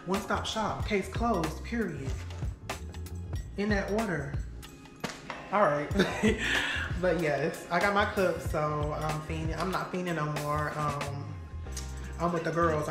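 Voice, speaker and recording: A woman in her home kitchen shows off her purchases in an excited, playful vlog. She talks in a lively way, full of slang and catchwords she keeps repeating. The room echoes, music plays clearly behind her, and the camera sits a few steps away. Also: on the low side. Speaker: vlogger. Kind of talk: excited casual vlog, grocery haul. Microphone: camera on the counter, a few steps away.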